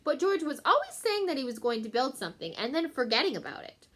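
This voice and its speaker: Lady teacher doing a kids book read aloud, voice a bit high, expressive, talks right to the kids. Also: quick pace. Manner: expressive, addresses young listeners directly. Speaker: teacher reading aloud to pupils. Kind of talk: children's book read-aloud with short explanatory asides.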